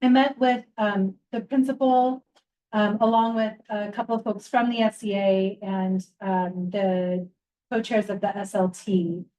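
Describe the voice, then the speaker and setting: Soft-voiced woman with a mellow tone. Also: medium pitch, fairly even intonation, poor audio. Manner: halting, with fillers. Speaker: council member giving an update. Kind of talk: virtual meeting report.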